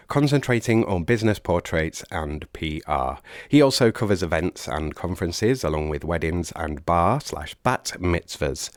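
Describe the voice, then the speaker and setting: A man with a cold-roughened, hoarse voice reads out the introduction for his podcast episode. His voice sits very low and sounds dry and close, and he slips in wry little jokes. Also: no room sound. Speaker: podcast host.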